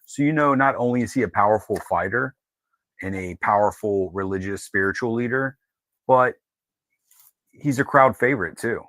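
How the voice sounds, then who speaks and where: Low-pitched man chatting casually on a show. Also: normal speed, fairly bright tone, dry recording. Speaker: show co-host. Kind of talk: casual chat.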